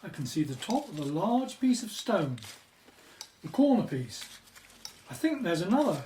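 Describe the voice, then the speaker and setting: A woman's voice: fairly low pitch, big pitch swings, normal speed, warm tone. A woman playing a focused, polite archaeologist in a radio drama, heard echoey and off mic. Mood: focused, brisk. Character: focused, polite archaeologist named Doctor Philip Branscombe. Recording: echoey, distant from mic, faint noise.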